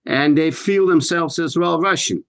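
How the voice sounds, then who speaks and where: A man with a Dutch accent, a native Dutch speaker, interviewing in English; he speaks haltingly and repeats words while searching for his phrasing. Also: fairly high pitch, fairly bright tone, dry recording, studio quality. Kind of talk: conversational interview.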